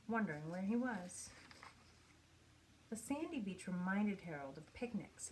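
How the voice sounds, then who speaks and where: A woman reading a children's picture book aloud in an expressive storytelling manner, with pauses for effect. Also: mid-range voice, a little hiss or hum, low-quality recording. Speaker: storybook reader.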